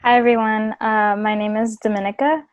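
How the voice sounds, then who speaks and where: A woman's voice: on the high side, level tone, a little fast, no room sound, dull audio. Chatty young woman, dry sound. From Ecuador, raised in New Jersey. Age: 20s. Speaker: college student panelist. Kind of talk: casual self-introduction. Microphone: video call.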